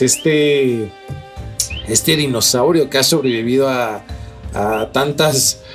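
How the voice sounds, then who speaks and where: A Mexican man with a somewhat high-pitched voice co-hosts a mystery news program. He reads scripted items and slips into informal, slangy conversation. Music plays behind him. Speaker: news co-host. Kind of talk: scripted mystery news narration with casual banter.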